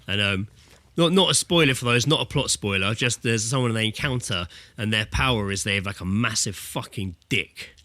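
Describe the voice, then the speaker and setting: Bright-voiced man in his thirties, close and dry. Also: fairly low pitch, normal speed, no room sound. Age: 30s. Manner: sweary, blokey and jokey. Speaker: podcast co-host. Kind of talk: casual comedic banter. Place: remote internet call.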